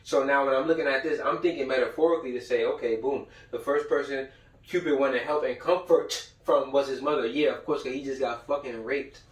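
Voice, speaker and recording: A man's voice: medium pitch, steady tone, brisk. Echoey, distant man lecturing bluntly in slang. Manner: blunt, profane, slangy, repeats himself for emphasis. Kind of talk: informal lecture with read-aloud passages and commentary. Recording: roomy echo, far from the mic.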